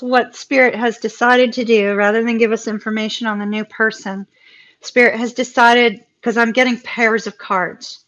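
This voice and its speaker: Chatty hoarse woman tarot reader. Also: a little high, fairly bright tone, lo-fi sound. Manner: chatty, laughs often, repeats words for emphasis. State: voice going hoarse. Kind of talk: live tarot reading stream.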